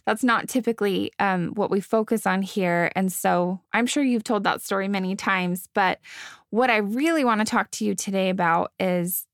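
A woman hosts a podcast interview. Her voice has a gravelly fry, and it sounds dry and close to the mic. She frames her questions earnestly and warmly invites the guest to go deeper. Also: normal speed, no echo. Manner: earnest, encouraging, brief affirmations. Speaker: podcast host.

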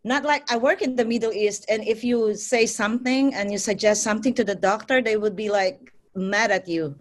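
A woman's voice: mid-range voice, steady tone. Earnest woman nurse. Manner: earnest, halting, with many restarts. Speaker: nurse guest. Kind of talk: casual panel talk.

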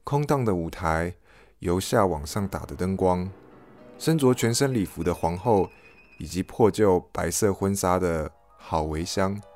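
A man with a low-pitched voice narrates a scripted review in the first person, as the host of an audio log. Faint music plays behind him. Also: a little slow, darkish tone.

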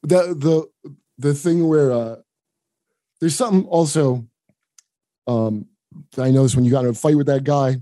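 A man's voice: mid-range voice, normal speed, pauses often, warm tone, no room sound. A man hosting a comedy podcast, chatting and joking casually with his guest.

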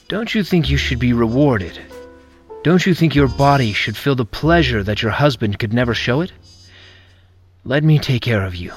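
An actor playing a smooth-talking, seductive male president in an audio drama, coaxing and persuasive. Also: medium pitch, lightly acted.

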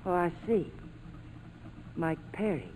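A woman's voice: fairly low pitch, lively intonation, slow pace, darkish tone. An actress playing a sardonic, guarded young woman in the cool style of 1940s radio drama. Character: hard-boiled sardonic young American woman, defiant and guarded. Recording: noisy old 1940s radio broadcast.

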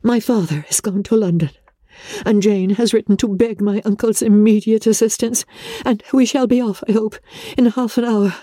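A woman voicing a distraught, self-blaming young gentlewoman in a classic novel reading, agitated and halting, her voice tearful and breaking. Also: medium pitch, fairly even intonation, no echo, clean studio sound, voice pushed up, natural voice. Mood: despairing.

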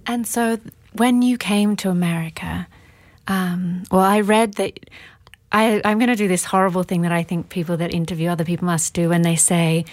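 Female podcast interviewer, lower register, informal and personal delivery, dry studio-like sound. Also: fairly even intonation, moderate pace, dry recording. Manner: informal, personal, loosely phrased. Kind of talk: casual conversational interviewing.

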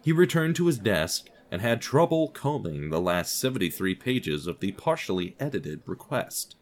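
A man's voice: on the low side, sing-song, moderate pace. A man giving scripted story narration as narrator of an audio drama podcast.

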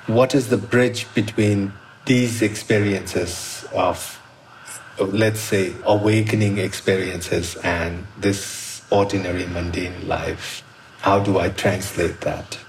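A middle-aged man from Nepal with a Nepali accent, reflecting on his life in thoughtful, halting phrases as an artist being interviewed. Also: low-pitched, fairly even intonation, slight background noise, poor audio. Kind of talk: reflective personal storytelling.

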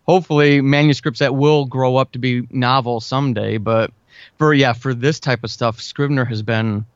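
A man's voice: medium pitch, steady tone, normal speed, dry recording, muffled recording. A man chatting informally and earnestly as an interview guest, full of enthusiasm for his craft. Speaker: interview guest, audio drama writer. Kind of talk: casual chat.

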